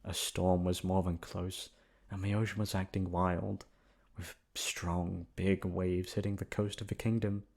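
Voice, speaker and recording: Male ASMR storyteller, lower-pitched, reading a scripted bedtime tale. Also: little pitch movement, a little slow, gravelly, a little mellow.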